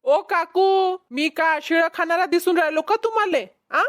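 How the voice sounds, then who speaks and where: A woman's voice: extremely high pitch, moderate pace. A woman voicing a boastful, haggling young male street peddler in a cartoon comedy, with a Vidarbha Marathi accent. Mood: indignant, boastful. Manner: cocky, bargaining.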